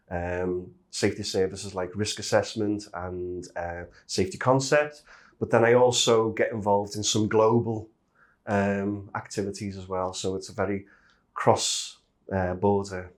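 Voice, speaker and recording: A middle-aged man from Liverpool with a Liverpool accent, chatting as a guest in a casual interview. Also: fairly low pitch. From Liverpool, England. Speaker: interview guest, industrial safety manager.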